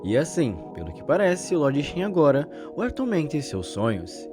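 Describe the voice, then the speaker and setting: A man's voice: on the high side, sing-song, moderate pace. A young man with a Brazilian accent giving conversational scripted commentary as a video host, in a wordy storytelling manner. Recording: background music under the narration. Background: music.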